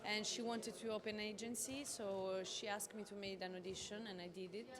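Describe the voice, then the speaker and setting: A woman's voice: medium pitch, fairly even intonation, brisk. A young actress from Italy, in her twenties, tells how she started in a plain, matter-of-fact way. Crowd chatter carries behind her. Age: 20s.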